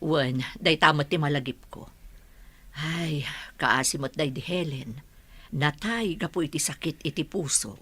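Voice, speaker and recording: A woman's voice: deep voice, unhurried, sharp tone, husky, full character voice. A woman playing a sociable village aunt and mother in a radio drama. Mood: sympathetic. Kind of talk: Ilocano radio drama acting.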